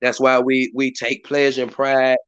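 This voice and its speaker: A hoarse man hosts a community live stream in a rambling way, full of fillers and restarts. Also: medium pitch, completely flat delivery, normal speed, a bit bright, no room sound, dull audio. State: hoarse, voice partly lost. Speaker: community activist hosting a live stream meeting. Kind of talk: casual hosted talk. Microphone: live stream mic.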